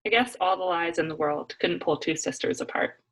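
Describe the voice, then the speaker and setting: A woman's voice: lowish voice, normal speed, breathy edge, poor audio. Woman reading a children's book aloud. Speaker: read-aloud reader. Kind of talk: expressive children's book narration.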